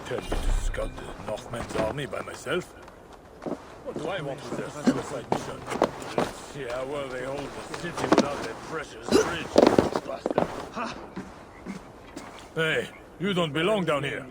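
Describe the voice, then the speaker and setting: A man acts out a fatalistic, grumbling guard in a game cutscene. His medium-pitched voice mutters sardonically. Loud outdoor noise fills the background. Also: lively intonation, unhurried, natural voice. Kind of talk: video game cutscene acting.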